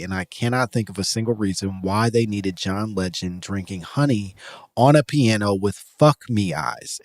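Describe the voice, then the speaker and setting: A man's voice: fairly low pitch, dry recording. Man hosting, profane joking chat, dry sound. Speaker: podcast host. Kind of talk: casual comedic chat.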